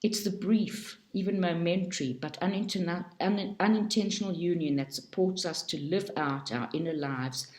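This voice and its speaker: A woman reading a book aloud for a study group, slowly and carefully, stopping often to correct herself. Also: fairly low pitch, a little mellow, reverberant. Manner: slow, careful reading with frequent self-corrections.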